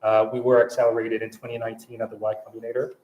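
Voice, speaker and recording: A man from France speaking English with a French accent, a guest at a fireside chat who talks in halting phrases with many restarts and fillers. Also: mid-range voice, completely flat delivery, darkish tone, reverberant, muffled recording. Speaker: panel guest.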